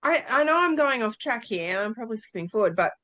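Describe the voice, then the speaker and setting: A woman's voice: on the high side, big pitch swings, fast talker, bright, forceful tone. A woman chatting casually and candidly as a co-host of a book club podcast. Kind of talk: casual chat about books.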